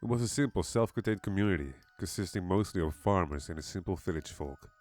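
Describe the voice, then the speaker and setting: A man's voice: low-pitched, lively intonation, quick pace, a little mellow, faint music behind. A man reading a scripted horror story as its narrator.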